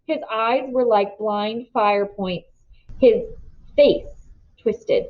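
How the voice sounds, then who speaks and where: A woman reading a novel aloud as an expressive storybook narrator. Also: on the high side, extremely slow, highs cut off.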